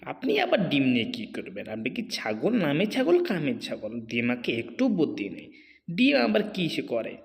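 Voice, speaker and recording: A man's voice: medium pitch, wildly swooping pitch, moderate pace, gravelly. Man voicing a grumpy tiger hawker, heavy reverb. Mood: loud, mocking. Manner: mocking, scolding. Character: grumpy, boastful tiger egg seller, male. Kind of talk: Bengali cartoon character dubbing. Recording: long hall-like reverb.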